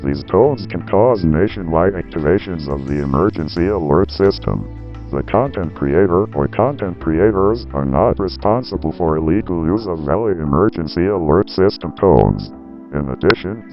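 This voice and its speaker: A low-pitched man narrates a formal, scripted disclaimer. The recording is dull and lo-fi, with music playing behind his voice.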